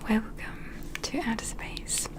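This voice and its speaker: A woman playing a gentle, attentive alien medical examiner in an ASMR roleplay, whispering slowly and soothingly in a breathy, reassuring way. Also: close to an everyday voice, rough recording. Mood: calm, welcoming. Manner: slow, soothing, reassuring, procedural.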